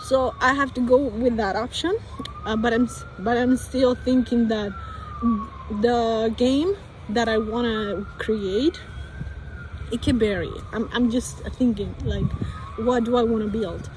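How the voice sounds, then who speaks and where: A woman's voice: fairly high pitch, steady tone, a little slow, a little mellow, a little hiss or hum, poor audio. A young woman from Colombia with a Colombian Spanish accent, speaking English as a second language and thinking aloud in a hesitant vlog full of restarts and fillers. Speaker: vlogger.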